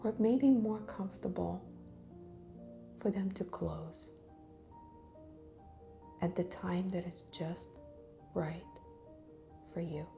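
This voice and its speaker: A woman leading a guided visualization in a gentle, hypnotic way, with long pauses and soft phrase endings that trail off toward a whisper. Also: on the low side, creaky, dull audio. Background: background music.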